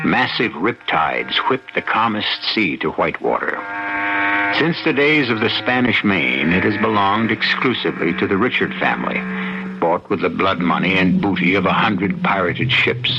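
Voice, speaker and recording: Very deep, gravelly male radio narrator. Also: very animated, noisy background. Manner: portentous, deliberate. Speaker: host and narrator. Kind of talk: dramatic scripted radio narration. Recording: dull old radio broadcast recording. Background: dramatic music.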